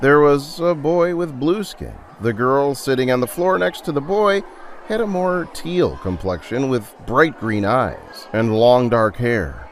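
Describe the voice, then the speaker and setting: A man narrating a dramatic audio story in a theatrical, deliberate and suspenseful way. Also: mid-range voice, expressive, slight background noise. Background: music.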